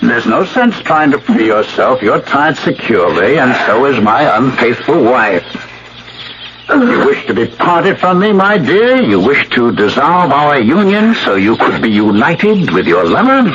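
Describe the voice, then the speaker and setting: A dull, hissy old radio recording carries a vengeful, unhinged husband. His delivery swings hugely in pitch, savoring each threat with theatrical, mocking politeness. He is a gloating radio-play villain who draws out his menace. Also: big pitch swings, measured pace. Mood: triumphant, menacing. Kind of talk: 1970s radio drama acting.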